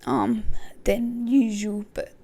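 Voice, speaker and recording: A woman hosting a casual football podcast, her voice croaky, thinking aloud with many hesitations. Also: a little high, fairly even intonation, slow, warm tone. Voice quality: gravelly. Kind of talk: casual pre-match football talk.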